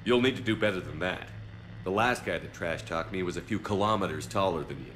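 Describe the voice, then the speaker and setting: An actor playing a confident military commander in a video game, speaking in a terse, dry, steady way. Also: male voice, low-pitched, a little fast, background noise, close to an everyday voice. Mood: defiant. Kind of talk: video game cutscene dialogue. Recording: game music or ambience behind voice.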